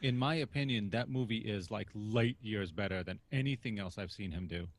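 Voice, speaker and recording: A man's voice: fairly low pitch, a little fast, no echo. A man casually discussing films as a podcast reviewer, opinionated and emphatic, with some casual swearing.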